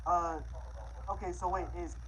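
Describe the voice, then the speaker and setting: A man's voice: sits high, level tone, a little slow, fairly bright tone. Laid-back male livestreamer, noisy background. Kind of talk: casual livestream chat, answering viewers.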